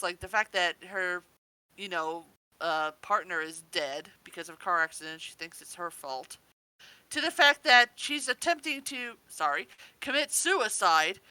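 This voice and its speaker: A woman chatting casually as a podcast reviewer, gushing and excitable, swearing freely. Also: mid-range voice, no room sound, rough recording.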